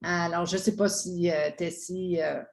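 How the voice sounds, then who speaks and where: A woman's voice: fairly low pitch, fairly even intonation, unhurried, creaky. A woman with a Quebec French accent talking casually and unscripted as a mentor, hesitant and searching for her words. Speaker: mentor giving a testimonial.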